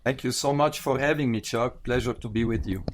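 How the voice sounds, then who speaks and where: A man, a retired pathologist giving an interview, thoughtful and pausing often to correct himself. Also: mid-range voice, a little hiss or hum. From Lebanon. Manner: thoughtful, pauses with fillers and self-corrections. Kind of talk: conversational interview.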